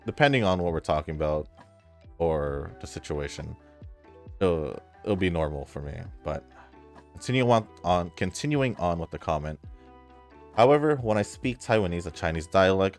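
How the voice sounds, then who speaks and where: A man's voice: low-pitched, animated, normal speed, darkish tone. A man talking casually as a gaming YouTuber, reading viewer comments aloud and reacting in a laid-back, hesitant way with trailing fillers. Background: faint background music.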